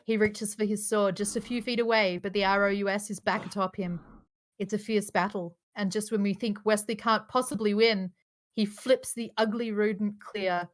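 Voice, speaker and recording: A woman reads out screenplay directions in a middle-pitched voice. The delivery is scripted narration for an informal live table read, loose enough to allow casual asides. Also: steady tone, normal speed.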